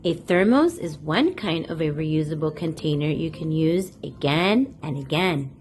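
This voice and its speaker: Slow, animated woman reading to children. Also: sits low, slight background noise. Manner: deliberate, addresses young listeners directly with questions. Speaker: host of a children's read-aloud channel. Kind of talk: children's picture book read-aloud, storytelling to kids.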